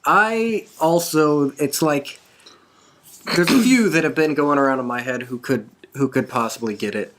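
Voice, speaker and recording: A man's voice: a little high, measured pace, fairly bright tone. A man hosting a casual, conversational discussion show about sports entertainment. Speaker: podcast host.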